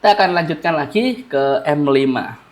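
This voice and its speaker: A man's voice: a little high, animated, normal speed. A man with a stuffy, blocked nose, feeling unwell, walking viewers through a chart analysis in a casual way and often repeating key phrases. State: stuffy-nosed, under the weather. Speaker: trading analyst.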